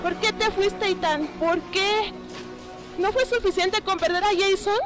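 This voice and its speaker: Very high-voiced, distraught grieving mother, acted by a woman. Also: steady tone, measured pace, music in the background. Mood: reproachful, anguished. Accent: Latin American. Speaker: fan dub voice actor. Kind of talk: dramatic video game dub.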